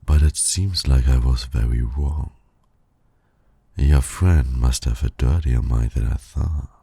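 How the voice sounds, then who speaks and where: Male actor as flirtatious villain, husky breathy voice, dark mellow tone, possessive, teasing, intimate ASMR roleplay. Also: no echo, exaggerated voice. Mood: teasing, amused. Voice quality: very deep, gravelly, husky. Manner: slow, breathy, suave teasing with soft chuckles.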